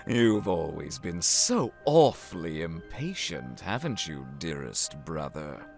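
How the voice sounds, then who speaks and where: An actor playing a sly, theatrical wind spirit in a visual novel, with a teasing, languid, dramatic delivery. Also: male voice, deep voice, very animated, takes it slowly, gravelly, darkish tone, exaggerated voice. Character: sly, theatrical male wind spirit, amused elder brother. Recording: music behind the voice. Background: game music.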